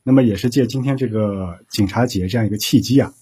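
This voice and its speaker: A man speaking as an official interview guest, explaining things formally in measured phrases. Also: fairly low pitch, warm tone, slightly rough.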